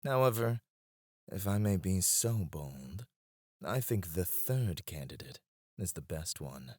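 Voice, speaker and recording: A man's voice: low voice, sing-song, takes it slowly, darkish tone, breathy edge, dry recording, studio quality, heavily acted. A man playing a devoted, teasing royal advisor in a romantic ASMR roleplay, speaking in a formal, courtly way. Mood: deferential, coaxing.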